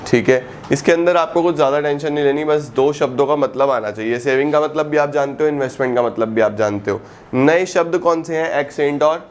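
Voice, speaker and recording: A man teaching an economics class. He keeps asking the students questions and answers them himself, mixes Hindi with English terms, stretches out key words slowly and calls his students "beta". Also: a little high, a little hiss or hum. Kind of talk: recorded classroom lecture.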